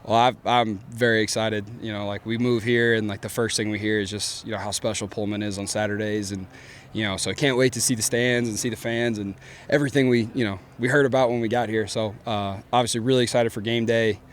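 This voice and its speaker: A man's voice: on the low side, flat delivery, brisk, slight background noise. A man with an East Texas accent, a football coach giving casual, upbeat press conference answers full of fillers.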